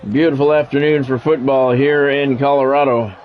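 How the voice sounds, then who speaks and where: A man's voice: medium pitch, fairly even intonation, moderate pace, narrow, tinny audio, a faint crowd, a little hiss or hum. A man doing radio-style play-by-play of a football video game on a livestream, with swearing and greetings to viewers.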